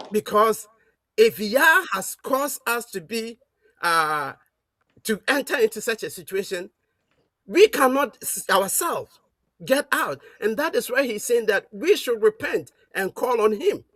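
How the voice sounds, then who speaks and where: A man calling in to an online talk show, giving a preaching-style message with many pauses and restarts. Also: sits high, very animated, a bit bright, no room sound. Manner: halting, with many fillers and restarts. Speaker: caller. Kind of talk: preaching-style call-in remarks.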